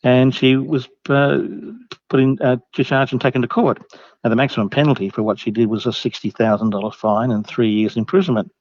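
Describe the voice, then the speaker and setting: A man's voice: mid-range voice, steady tone, a little fast, darkish tone. Dull, echoey man phoning in. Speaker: convention reporter. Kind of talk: phoned-in report. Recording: dull phone-in audio, echoey, faint music.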